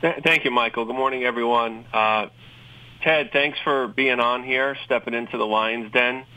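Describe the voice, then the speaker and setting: A man with a New York accent talks in a bright, forceful tone as a guest on a talk panel. He keeps things chatty and drops joking asides, restarting phrases often. His voice comes from a little way off the mic. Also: medium pitch, steady tone, moderate pace, distant mic, rough recording. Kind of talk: conversational talk show remarks.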